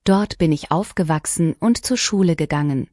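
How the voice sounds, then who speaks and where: A woman reading short lesson sentences one at a time, each clearly and deliberately, for learners to repeat. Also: low voice, darkish tone, no echo, clean studio sound. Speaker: language lesson reader. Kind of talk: scripted shadowing practice reading.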